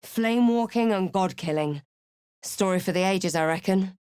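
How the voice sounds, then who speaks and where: A woman playing a watchful, wry fantasy adventurer in a video game, recounting her journey in a measured storybook way with dry asides. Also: medium pitch, a little mellow, clean tone, dry recording, close to an everyday voice. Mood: alert, brooding. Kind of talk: video game character voice lines.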